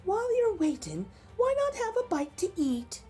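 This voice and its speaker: A woman's voice: very high voice, very animated, moderate pace, a little mellow, faint music behind, pitched above the performer's own voice. A woman voicing a sly, sweet-talking giant's wife in a children's storybook read-aloud. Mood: sweetly coaxing. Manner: honeyed, coaxing.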